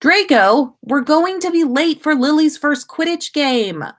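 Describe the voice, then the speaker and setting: Woman as emphatic husband, raised and drawn-out. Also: sits high, expressive, a bit bright, pitched above the performer's own voice. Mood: impatient calling. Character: good-humored grown man, teasingly scolding husband and father.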